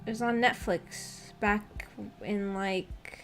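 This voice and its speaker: A woman's voice: medium pitch, fairly even intonation, slow pace, music in the background. A young adult woman chatting casually as a livestream host, rambling in a giggly, self-deprecating way. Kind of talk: casual livestream chat with viewers.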